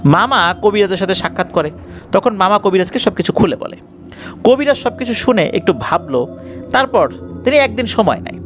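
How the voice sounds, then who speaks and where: A man's voice: high-pitched, expressive, normal speed. A man narrating a scripted ghost story in a dramatic storytelling style. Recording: music bed behind the voice.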